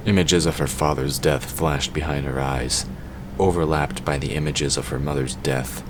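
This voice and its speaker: A man's voice: extremely low pitch, steady tone, normal speed. A man reading scripted story narration as the narrator of an audio drama. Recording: clearly noisy background ambience.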